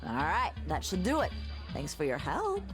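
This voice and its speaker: A woman's voice: on the low side, wildly swooping pitch, normal speed, music in the background. An actress playing a confident, friendly young female electronic musician in a video game.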